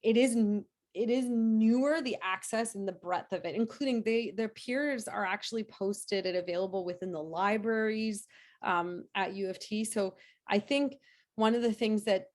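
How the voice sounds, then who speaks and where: A woman in a lecture Q&A, her voice hoarse as she gets over a cold. She answers off the cuff at a middling pitch, full of fillers and restarts. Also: moderate pace, a little mellow. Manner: hesitant, many fillers and restarts. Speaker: nursing professor giving a lecture.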